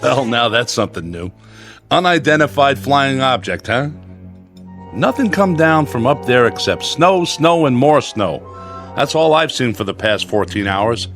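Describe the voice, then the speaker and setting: A folksy diner owner in a radio suspense play, curious and inquisitive. His voice swings up and down in lively fashion, with music underneath. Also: medium pitch, a little slow. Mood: puzzled, skeptical. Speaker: actor. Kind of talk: old-time radio drama acting.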